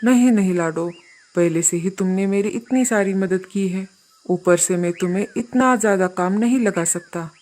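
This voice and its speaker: A woman's voice: mid-range voice, moderate pace, darkish tone, voice pushed down, close to an everyday voice. A woman voicing a polite, earnest male crow who runs a hotel in a children's cartoon story. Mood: worried.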